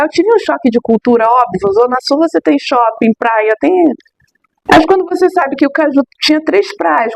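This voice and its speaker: A middle-aged woman speaking as a neighborhood tour guide, her voice a little high. She talks emphatically, stretches words and keeps checking in with her listener. The sound is close and dry, with no room around it. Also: gravelly, no echo. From Rio de Janeiro, Brazil. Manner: expressive, emphatic, drawn-out words, many tag questions. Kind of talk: animated interview answers.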